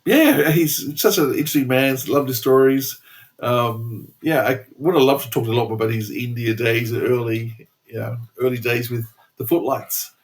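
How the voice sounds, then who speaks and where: Man, podcast co-host, casual, enthusiastic, average pitch. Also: moderate pace. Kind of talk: casual chat.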